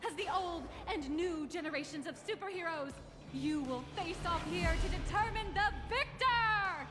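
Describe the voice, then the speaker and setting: A woman playing an excitable young female game announcer who cheers on a hero in a dramatic, exclamatory way. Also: very high voice, moderate pace. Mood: dramatic announcing. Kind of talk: theatrical game commentary. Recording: loud music behind the voice. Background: loud game music.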